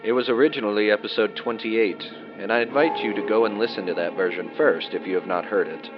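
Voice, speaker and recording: Very low-voiced male podcast host over music. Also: quick pace, lo-fi sound. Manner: formal, courteous address to listeners. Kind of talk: scripted show introduction.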